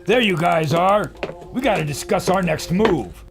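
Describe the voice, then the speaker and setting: A man playing a plucky, gung-ho adventurer with a creaky voice and folksy, punchy delivery in a pulp radio serial. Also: high-pitched, animated, unhurried, over music. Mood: concerned.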